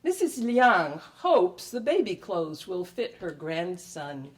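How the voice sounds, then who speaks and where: A woman reading her own writing aloud at a live event, with measured, expressive delivery. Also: lowish voice. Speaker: writer and performer in an ensemble. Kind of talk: live poetry reading with spoken introduction. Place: public library event before an audience.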